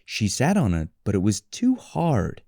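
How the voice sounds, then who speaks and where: A man reading a children's story as a storyteller, with deliberate, expressive delivery. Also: lowish voice, creaky, no room sound, studio quality. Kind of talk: scripted children's story narration for English learners.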